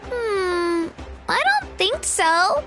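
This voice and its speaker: Little girl game fighter, extremely high girlish voice, sweet, eager, modest, music behind. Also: big pitch swings, very slow, exaggerated voice. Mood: thoughtful. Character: young girl fighter, earnest, modest and plucky. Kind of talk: video game character dialogue.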